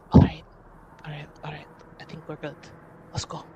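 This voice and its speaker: A tabletop roleplaying player improvising casually in character, halting at times and easily given to giggles. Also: mid-range voice, noisy background. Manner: giggly, breaks into laughter.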